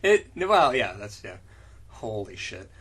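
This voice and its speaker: A man's voice: lowish voice, very animated, slow pace, crowd noise. A man doing a casual comic reading of fanfiction as host, breaking off into incredulous, profane banter.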